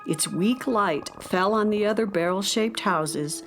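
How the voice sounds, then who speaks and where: Woman narrator reading a kids adventure story, medium pitch, bit of music underneath. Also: a little slow. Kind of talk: scripted children's story narration.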